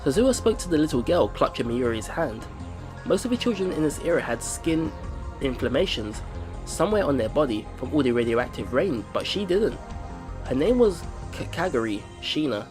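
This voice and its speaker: A man hosting a let's play, reading visual novel narration aloud and adding informal, exclaiming reactions. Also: fairly high pitch. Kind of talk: reading game narration aloud with casual commentary. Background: game music.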